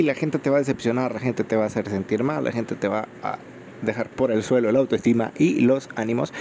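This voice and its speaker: A man's voice: medium pitch, vocal fry, mellow, a little hiss or hum. A Salvadoran man with a Salvadoran Spanish accent hosting an unscripted solo podcast, rambling with frequent fillers, recorded on a phone microphone. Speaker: podcast host.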